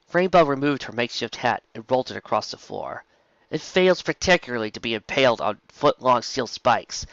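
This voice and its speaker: A man reads a fantasy story aloud with lively, wide-ranging intonation at a middle pitch. The recording is dry and a bit dull, like a home-made audiobook. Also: no echo. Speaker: amateur audiobook narrator.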